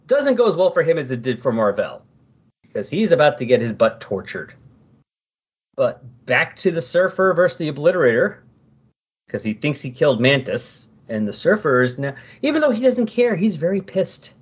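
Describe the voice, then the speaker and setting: A man with a mid-range, somewhat husky voice hosts a casual comic book podcast. He retells stories conversationally and animatedly, slipping into quoted lines as he goes. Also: mid-range voice, big pitch swings, normal speed, dull audio. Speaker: podcast host.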